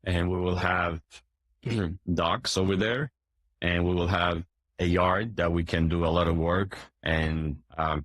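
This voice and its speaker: Low-voiced man, halting and filler-heavy. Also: fairly bright tone, no echo. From Turkey. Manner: hesitant, many fillers and restarts, clears throat often. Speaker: boat dealership owner, guest. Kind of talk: conversational interview answers.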